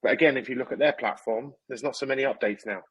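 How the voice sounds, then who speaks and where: A man from the United Kingdom in a casual chat, explaining his points in a measured way. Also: mid-range voice, fairly bright tone, breathy edge. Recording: dull, lo-fi mic.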